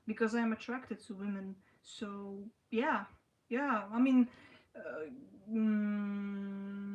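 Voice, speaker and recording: A young woman talks casually to her livestream viewers. She speaks haltingly, starting sentences over and leaning on "you know". Her pitch sits in an ordinary middle range. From Slovakia. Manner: halting, restarts often, frequent "you know". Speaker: livestream host.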